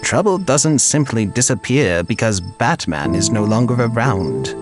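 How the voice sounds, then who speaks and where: An older butler with lilting pitch. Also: male voice, big pitch swings, unhurried, music in the background. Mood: gently reproving. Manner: polite, gently challenging. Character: older, dutiful, frank male butler. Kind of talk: audio drama acting.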